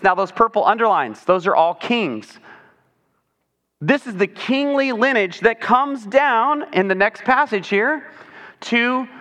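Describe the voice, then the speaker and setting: High-voiced man preaching in a reverberant hall. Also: a bit bright. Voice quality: high-pitched voice. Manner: conversational, informal asides with rhetorical questions. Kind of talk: sermon, explanatory Bible teaching.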